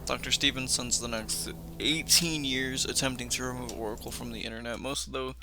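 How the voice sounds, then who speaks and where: A man's voice: medium pitch, fairly even intonation, brisk, noisy background. A young man hosting a reaction video, casually commenting and reading text aloud.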